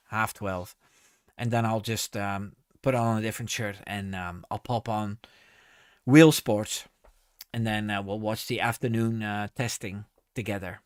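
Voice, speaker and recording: Guy chatting on his stream, smooth clear voice, dry close sound, lots of ums. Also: mid-range voice, fairly bright tone, no room sound. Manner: halting, many ums and restarts. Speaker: streamer hosting a live chat. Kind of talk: casual live-stream chat reading viewer comments.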